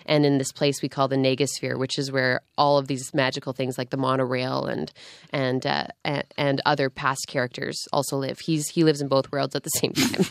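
A woman's voice: very deep voice, little pitch movement, smooth, dry recording, clean studio sound. A woman with a Canadian accent, an upbeat, chatty theatre producer talking as a guest in a radio interview. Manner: chatty, upbeat, quick to laugh. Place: radio studio.

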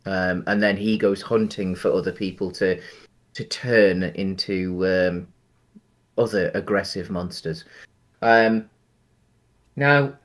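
A man's voice: low-pitched, takes it slowly, pauses often. A man in his thirties co-hosting a podcast on a video call, chatting about a film with lots of ums and restarts. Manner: hesitant, many ums and restarts. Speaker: podcast co-host. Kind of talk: casual film discussion. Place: small room at home. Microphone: headset on a video call.

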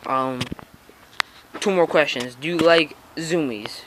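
A man asks relaxed, hesitant questions from behind a handheld camera, often pausing with "um" and "all right". His voice sits a little high for a man. The outdoor audio carries a clear hiss of background noise. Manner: laid-back, hesitant, fills pauses with "um, all right". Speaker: interviewer filming a young skater. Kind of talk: casual off-camera interview. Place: outdoors on a house porch.

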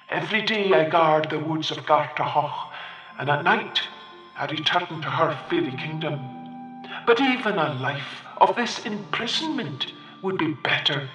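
A man playing a gentle, burdened young knight under a fairy spell, speaking softly and formally. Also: a little high. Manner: soft, grave, formal old-fashioned speech. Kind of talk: storytelling. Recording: roomy, distant mic with music.

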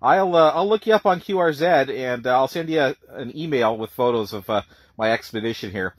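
A man's voice: mid-range voice, animated, brisk, no room sound. A man working as an amateur radio operator, speaking plainly in radio jargon and repeating details for clarity. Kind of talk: ham radio contact, phonetic spelling.

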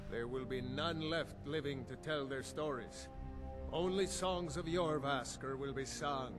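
An actor playing a solemn, vengeful warrior in scripted fantasy video game dialogue, speaking in a declamatory way. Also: male voice, medium pitch, unhurried, close to an everyday voice. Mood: vengeful resolve. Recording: game audio with music behind.

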